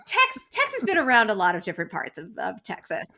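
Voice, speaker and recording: Bright-voiced woman in casual conversation. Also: medium pitch, wildly swooping pitch, fast, creaky. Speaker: podcast cast or crew member. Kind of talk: casual chat, interviewing.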